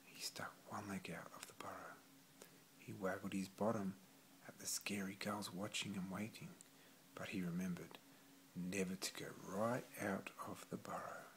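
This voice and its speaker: Man, creaky low voice, unhurried gentle storybook reading, faint background noise. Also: steady tone, rough recording. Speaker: storybook reader. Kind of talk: expressive children's story read-aloud.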